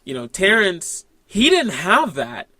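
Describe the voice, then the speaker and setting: A man from the Bahamas with a bright, forward tone and a fairly high voice, speaking in a dry, close-miked recording. He talks casually and reflectively, often stopping to restart a thought. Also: huge pitch swings, dry recording. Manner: reflective, halting, many restarts and fillers. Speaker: podcast co-host. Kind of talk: casual conversational film discussion.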